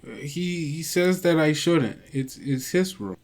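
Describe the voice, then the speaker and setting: Man voicing a hesitant little girl, pitched up. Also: lively intonation, measured pace. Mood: hesitant, uneasy. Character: shy, obedient little girl living in the woods.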